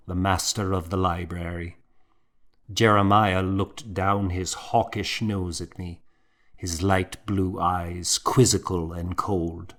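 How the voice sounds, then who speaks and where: A man narrating a historical novel in the first person, with a measured, dramatic storytelling manner. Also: deep voice. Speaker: audiobook narrator.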